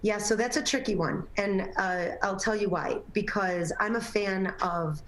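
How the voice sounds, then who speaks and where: A woman's voice: mid-range voice, measured pace, echoey room. A woman guest on a morning TV talk show, a personal trainer and nutrition coach, talking casually with many fillers, her voice a little scratchy. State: slightly scratchy voice. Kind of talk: morning TV interview.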